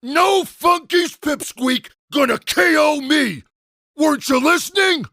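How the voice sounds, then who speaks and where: An actor playing a dim, bullying henchman in a cartoon video game, with gravelly, slangy tough-guy threats. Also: extremely high pitch, lively intonation, slow pace, bright, forceful tone, no room sound, raised voice, studio quality, exaggerated voice. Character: loud, dim, bullying male henchman brute.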